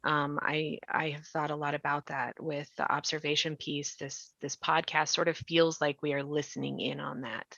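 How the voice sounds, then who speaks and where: A woman with a low voice moderates a relaxed conversation as host. She speaks in a gentle, careful way, checking in with the others. The sound is close and dry, though a little dull. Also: moderate pace, gravelly, few pauses, no echo. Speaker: host and podcast writer. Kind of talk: casual discussion, moderating.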